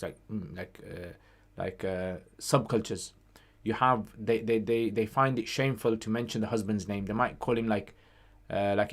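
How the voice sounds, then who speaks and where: A man with a mid-pitched voice hosts an informal livestream question session. He reads out questions and answers them in a conversational way, dropping Arabic phrases into his casual talk.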